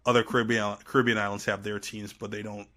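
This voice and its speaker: A man's voice: fairly low pitch, little pitch movement, fast talker, sharp tone. A man from Trinidad chatting casually and playfully as a podcast guest. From Trinidad and Tobago. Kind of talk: casual chat.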